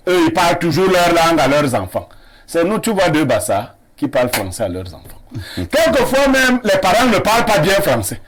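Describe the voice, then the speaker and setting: A man's voice: fairly high pitch, big pitch swings, normal speed, crowd noise. A man with a Cameroonian French accent, a guest on a TV studio debate, talking in a proud, expansive way and reeling off lists of names. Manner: expansive, listing names with playful pride. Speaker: panel guest.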